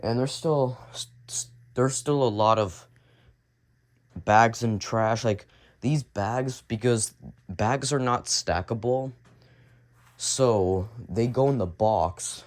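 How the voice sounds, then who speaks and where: A young man with a cold, his voice deep and raspy, rambling casually as he films a tour of his home basement. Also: takes it slowly. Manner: rambling, repeats and restarts as he shows things. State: sick, coughing. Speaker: vlogger.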